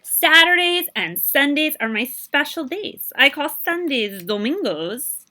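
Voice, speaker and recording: A woman reading a children's picture book aloud in an expressive way, pausing to explain and translate Spanish words. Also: sits high.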